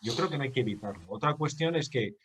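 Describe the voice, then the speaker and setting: Spanish interviewer who keeps checking in. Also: male voice, medium pitch, lively intonation, fast, slightly rough. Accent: Castilian Spanish from Guadalajara. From Spain, Guadalajara. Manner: affirming, tags questions with "¿vale?". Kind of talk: interview questions, conversational.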